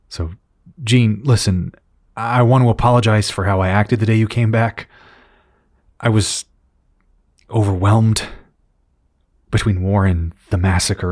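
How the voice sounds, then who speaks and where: A grim team leader acted by a man carries his burdens in a weary, earnest delivery. His voice is deep and rough-edged, recorded dry, in the understated dialogue of a superhero audio drama. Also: darkish tone, no room sound. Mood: apologetic.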